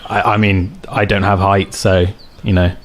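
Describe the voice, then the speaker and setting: A man's voice: low-pitched, little pitch movement, a little hiss or hum. A man playing a character at a tabletop game, casual and jokey, answering with short quips. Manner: casual and jokey, quick one-liners. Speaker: player.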